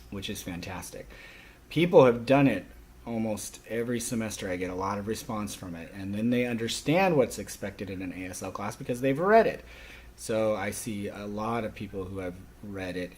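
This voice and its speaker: A man giving a spoken course orientation for a class, in halting stop-and-start phrases with stressed openings. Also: fairly low pitch, a little mellow.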